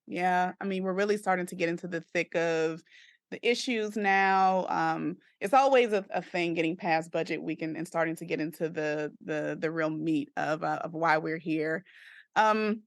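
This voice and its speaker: Creaky-voiced woman hosting an interview. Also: lowish voice, fairly even intonation, dry recording, clean studio sound. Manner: halting, wordy, circling phrases. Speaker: podcast host. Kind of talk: conversational interview.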